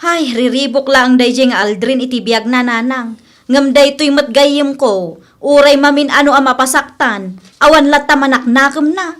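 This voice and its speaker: A woman's voice: high-pitched, moderate pace, a bit bright. An actress playing a frank, chiding female friend who gives advice in a radio drama, matter-of-fact in manner. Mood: disapproving.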